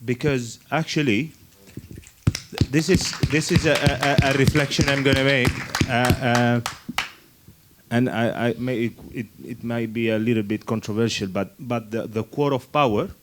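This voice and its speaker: A man speaking in a group discussion, halting and restarting as he builds his point. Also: medium pitch, fairly even intonation, very noisy. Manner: halting, many restarts and fillers. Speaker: festival workshop participant. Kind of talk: spontaneous discussion remarks.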